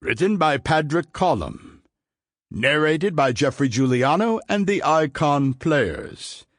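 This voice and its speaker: A man's voice: fairly high pitch, expressive, takes it slowly. A man delivering a scripted audiobook introduction as narrator, in a grandiose, solemn manner.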